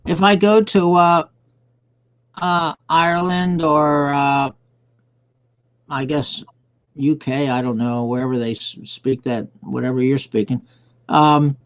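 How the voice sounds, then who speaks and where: A man's voice: a little high, steady tone, slow, pauses often, smooth. An older man chatting casually on an online group call, speaking hesitantly and repeating himself. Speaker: chat participant. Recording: dull online call audio.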